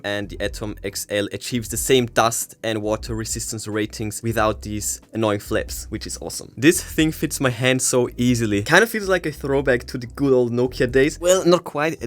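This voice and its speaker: A man presenting a casual gadget unboxing and review as a tech reviewer. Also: mid-range voice.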